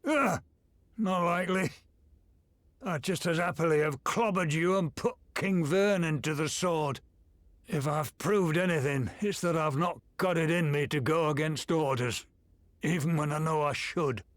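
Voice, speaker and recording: An actor playing a stubborn, battle-ready general in a video game, blunt and taunting in a colloquial way. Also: male voice, on the high side, measured pace, no room sound, studio quality, close to an everyday voice. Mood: grudging respect. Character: gruff, stubborn general, loyal to his oath, battle-ready. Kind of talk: video game cutscene acting. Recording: loud music behind the voice. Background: loud game music.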